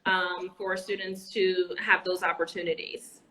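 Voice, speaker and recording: A woman with a bright, clear voice gives an enthusiastic interview as an educator. She talks casually over a video call, often pausing on "um" and restarting her sentences. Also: lowish voice, flat delivery, poor audio. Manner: enthusiastic, frequent "um" fillers and restarts. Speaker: guest, educator and entrepreneur. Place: Anguilla.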